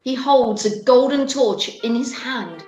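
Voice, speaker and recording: A woman's voice: a little high, lively intonation, a little slow, a little mellow, soft background music. A woman narrating a story as part of an amateur radio play. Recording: roomy home video call.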